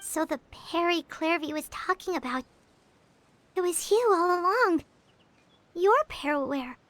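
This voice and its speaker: A tiny, excitable fairy companion chirps in a very high, squeaky voice. She refers to herself in the third person and sounds chirpy and eager. It is video game voice acting with a bright cartoonish edge. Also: a little slow, full character voice. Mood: curious.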